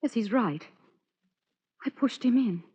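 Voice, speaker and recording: A woman's voice: fairly high pitch, expressive, slow pace, warm tone, slightly rough, exaggerated voice. An actress playing a guilt-ridden, proud married woman, dramatic and anguished, in an old-time radio melodrama. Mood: distraught. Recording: muffled old radio recording.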